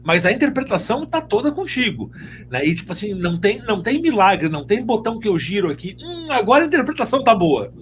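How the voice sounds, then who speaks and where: High-pitched Brazilian man explaining casually. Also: very animated, fast talker, slight background noise. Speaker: audio drama sound editor interviewed.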